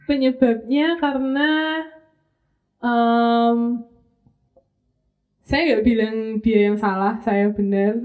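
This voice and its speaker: A woman's voice: on the high side, fairly even intonation. Playful young woman, muffled. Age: 20s. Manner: playful, quick to laugh. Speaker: podcast guest. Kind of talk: casual chat. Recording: muffled lo-fi mic.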